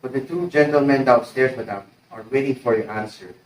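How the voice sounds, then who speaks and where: A teenage boy playing a formal, deferential butler in an amateur play, announcing politely and with measured words. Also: mid-range voice, a little hiss or hum, close to an everyday voice. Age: teens. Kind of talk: amateur stage-play acting. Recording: roomy, distant mic, music behind.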